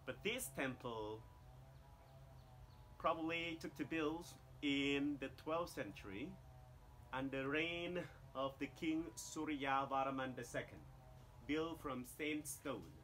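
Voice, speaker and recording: Slow-talking Cambodian man, bright voice. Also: mid-range voice, slight background noise, rough recording. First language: Khmer. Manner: slow, careful non-native English with simple grammar. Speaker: tour guide. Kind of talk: guided tour explanation to camera. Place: outdoors among jungle temple ruins.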